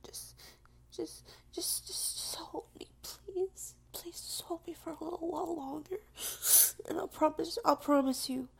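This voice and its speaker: A woman playing a clingy, submissive, tearful lover in an intimate audio roleplay, speaking in halting, repeated phrases with a breathy, creaky whisper. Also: very high-pitched, heavily acted. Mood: apologetic, pleading.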